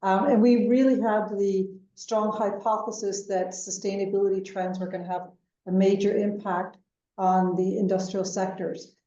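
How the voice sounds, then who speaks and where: An American woman in her fifties, a venture capital executive answering interview questions in a measured way, with many ums and uhs. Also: mid-range voice, flat delivery, mellow. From United States. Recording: distant, echoey, dull remote call audio.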